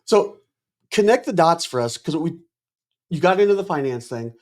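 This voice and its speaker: A man hosting a podcast, asking thoughtful, halting questions in a casual interview. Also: on the high side, expressive, darkish tone. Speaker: podcast host.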